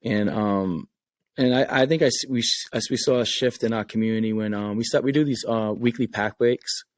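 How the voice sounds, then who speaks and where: A man's voice: mid-range voice, brisk. A man with a Rhode Island accent, a game creator talking casually and earnestly on a podcast, often repeating words as he thinks. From Rhode Island, USA.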